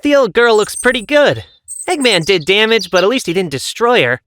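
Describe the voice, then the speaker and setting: A woman's voice: mid-range voice, big pitch swings, normal speed, a bit bright, slight background noise. A woman playing a plucky, cocky young male hedgehog hero in a cartoon audio drama. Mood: wry.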